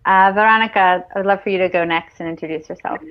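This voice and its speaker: A woman's voice: mid-range voice, steady tone, fast talker, muffled recording. A woman hosting a casual online panel, chatty and laughing, with many fillers. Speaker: host. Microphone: video call.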